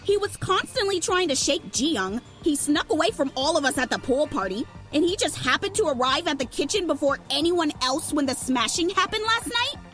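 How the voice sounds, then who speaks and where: A woman's voice: high-pitched, very animated, moderate pace, hardly any pauses, a bit bright, faint music behind. A woman playing a hot-headed, accusatory young woman in an audio drama, blunt, emphatic and insistent. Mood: heated, accusing. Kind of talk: fan audio drama acting.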